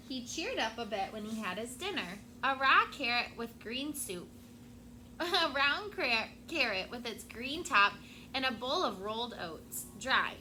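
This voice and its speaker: A woman teacher reads a picture book aloud to her class in an expressive voice, pausing to prompt the children. Her tone is bright and sharp, pitched a little high. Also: a little hiss or hum, low-quality recording.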